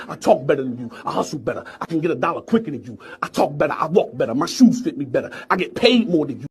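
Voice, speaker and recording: Male, higher-pitched, very quick delivery. Boastful, slangy rant built on repeated one-line brags. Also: fairly bright tone. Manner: bragging, repetitive, slangy. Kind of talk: rapid-fire boastful rant.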